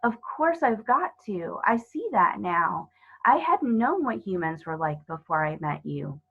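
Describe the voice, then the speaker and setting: A woman's voice: mid-range voice, very animated, unhurried, slightly rough, phone-quality sound, heavily acted. A woman voicing a timid, guilt-ridden male faun in a children's storybook, tearful, pleading and melodramatic. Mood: resigned, cautious.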